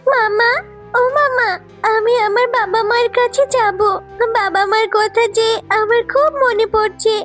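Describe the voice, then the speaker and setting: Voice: very high-pitched, fairly even intonation, a little slow, fairly bright tone, soft background music. A performer voicing a sweet, tearful orphaned little girl in a children's cartoon, plaintive and pleading. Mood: sad, frightened.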